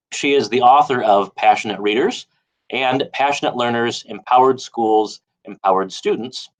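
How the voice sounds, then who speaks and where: A man's voice: medium pitch, poor audio. A man reading a formal introduction of a guest as the host of a live chat show. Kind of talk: read introduction.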